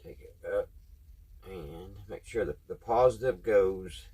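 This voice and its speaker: A man with a Southern US accent and a slightly husky voice, chatting casually in a folksy way as he talks through a hands-on repair, thinking aloud. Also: sits low, takes it slowly, mellow. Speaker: host of a radio repair video. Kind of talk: casual hands-on tutorial chat. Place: at a workbench.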